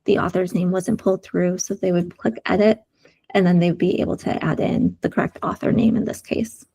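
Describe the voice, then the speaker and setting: Woman with a sore, gravelly voice. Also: fairly low pitch, fairly even intonation, brisk, mellow. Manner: explanatory, many "um" and "uh" fillers. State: sore throat, losing her voice. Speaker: UX designer presenting prototypes. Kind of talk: community meeting screen-share walkthrough.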